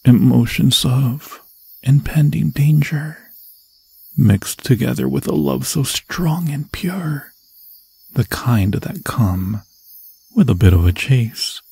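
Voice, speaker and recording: A man voicing an obsessed, possessive demon lord in an ASMR horror roleplay, husky and breathy, often dropping into a whisper, with grandiose, seductive phrasing. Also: medium pitch, animated, slow pace, warm tone, no echo, exaggerated voice. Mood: gloating, adoring. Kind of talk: ASMR fantasy horror roleplay, theatrical monologue. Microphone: close, dry microphone.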